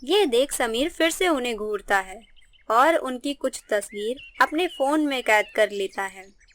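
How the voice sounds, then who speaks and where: A woman's voice: a little high, expressive, measured pace, a bit bright. A woman narrator reading a scripted moral story.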